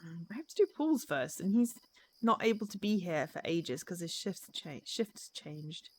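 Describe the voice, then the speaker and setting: A woman's voice: on the low side, lively intonation, moderate pace. A woman chatting casually and exuberantly with viewers as she live-streams a game.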